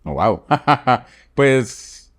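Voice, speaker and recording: A man from Guatemala with a Guatemalan accent, a surgeon speaking as a podcast guest, reflective and measured with frequent fillers. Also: mid-range voice, dry recording, studio quality. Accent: Guatemalan Spanish. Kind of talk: podcast interview.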